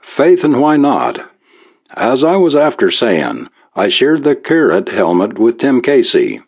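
A man's voice: medium pitch, wildly swooping pitch, measured pace, a little mellow, voice pushed up, full character voice. A man voicing a curious, breezily confident alien visitor who speaks in a folksy Irish brogue. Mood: matter-of-fact.

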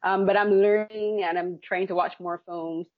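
A woman's voice: medium pitch, steady tone, moderate pace, no room sound, phone-quality sound. A woman from Barbados, a film critic talking on a podcast in a chatty, self-deprecating way with many fillers.

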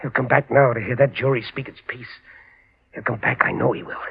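An actor playing a desperate, hot-tempered working-class husband bent on revenge, talking in rough slang in an old radio drama. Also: male voice, mid-range voice, steady tone, a little fast, a little hiss or hum, lightly acted. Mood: grimly insistent. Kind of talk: old-time radio acting. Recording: echoey, muffled old radio recording.

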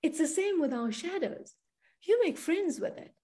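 A woman's voice: extremely high pitch, lively intonation, moderate pace, mellow. A woman from South Africa, a money and spiritual coach, talking earnestly in an interview and often checking in with "right?". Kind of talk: conversational interview.

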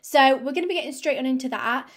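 Girl podcasting, bit croaky w a cold, chatty upbeat, fairly high voice. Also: quick pace. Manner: upbeat, chatty, many fillers. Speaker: podcast host and fitness coach. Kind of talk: casual solo podcast talk.

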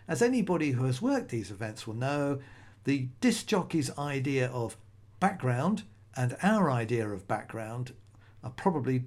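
Male solo podcast host, middle-aged, casual, rambling delivery, mid-range voice. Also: mid-range voice. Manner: conversational, rambling, self-correcting restarts.

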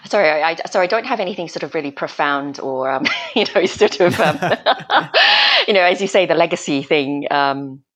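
Low-voiced chatty woman guest. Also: wildly swooping pitch, normal speed, a bit bright. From Malaysia, grew up in Australia. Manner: chatty, hesitant, many restarts and laughs. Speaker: guest gardener. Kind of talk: casual podcast interview.

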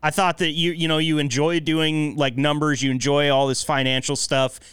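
A man's voice: fairly high pitch, little pitch movement, moderate pace, fairly bright tone, clear, resonant tone, no room sound. A man in a casual, playful chat as a podcast co-host. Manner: playful, joking.

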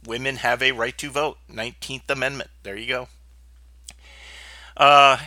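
A man's voice: mid-range voice, bright, forceful tone, no room sound. Stuffed-up, blunt male podcast host. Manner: blunt, opinionated, rambling with fillers. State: stuffed up from sinus trouble. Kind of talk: casual solo podcast talk.

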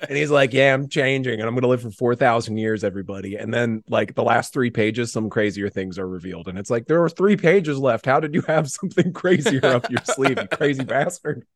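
A man in casual podcast chat, excitable and slangy, given to hyperbole. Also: medium pitch, a little fast, few pauses, dry recording.

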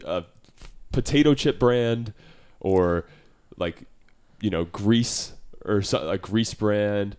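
Casting: low-voiced male podcast co-host, easygoing, rambling banter. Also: takes it slowly, a little mellow, smooth. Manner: laid-back, rambling, laughs often. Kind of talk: casual chat.

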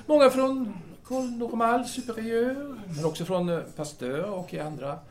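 An actor playing a self-assured, genial male intellectual in an audio drama, hemming and repeating himself in an avuncular manner. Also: very high-pitched. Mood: frank, self-assured. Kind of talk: Swedish audio drama dialogue.

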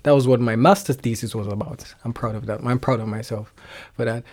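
A man's voice: mid-range voice, steady tone, darkish tone. Laughing Nigerian man, young adult. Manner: laughs often, halting restarts. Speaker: guest musician. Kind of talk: casual interview chat.

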